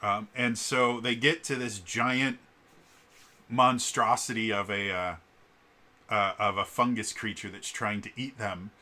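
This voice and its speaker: A man's voice: fairly low pitch, steady tone, unhurried, bright, forceful tone. A man talks casually as a vlogger and game master, explaining things in a digressive, storytelling way. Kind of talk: casual rambling storytelling vlog.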